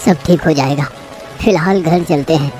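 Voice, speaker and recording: A woman's voice: low voice, moderate pace, dark tone, soft background music, lightly acted. A woman playing a troubled poor farmer, husband and father in a moral story, earnest and plaintive. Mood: reassuring.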